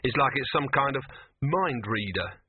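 A man voicing a gruff, uneasy animal trainer with a brusque, muttering manner in an audiobook. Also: mid-range voice, animated, normal speed, no echo, phone-quality sound, pitched above the performer's own voice. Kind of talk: audiobook character voice.

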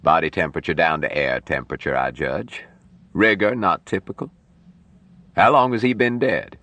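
A man voicing a gruff, sardonic old doctor who gives curt orders and dry wisecracks in an audiobook. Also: deep voice, moderate pace, sharp tone, no room sound. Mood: matter-of-fact.

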